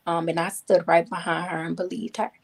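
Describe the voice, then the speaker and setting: A woman's voice: fairly low pitch, fairly even intonation, fairly quick, vocal fry. A woman from Haiti, guest author on a talk show, speaking candidly and reflectively from personal experience.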